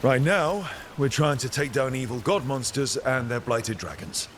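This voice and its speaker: An inquisitive adventurer hero in a fantasy video game, played by a man. His voice is mid-pitched, straight-talking and conversational, over faint game ambience. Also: normal speed, slight background noise, natural voice. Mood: curious, earnest. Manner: questioning, conversational.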